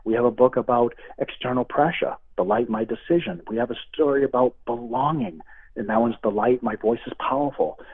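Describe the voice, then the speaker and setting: A man's voice: mid-range voice, brisk, breathy edge. A middle-aged man with a Boston accent, a guest speaking earnestly about faith in an interview. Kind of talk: interview over the phone. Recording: tinny phone line.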